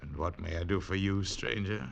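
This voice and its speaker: An actor playing a wary, gruff Boston tavern keeper, speaking curtly and low in a 1940s radio play. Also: male voice, normal speed. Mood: curt suspicious. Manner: curt, suspicious, low-spoken.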